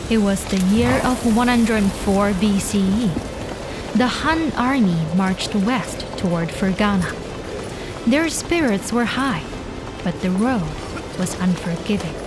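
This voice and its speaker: A woman narrating a scripted drama in a measured, solemn storytelling manner. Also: mid-range voice, lively intonation, background noise.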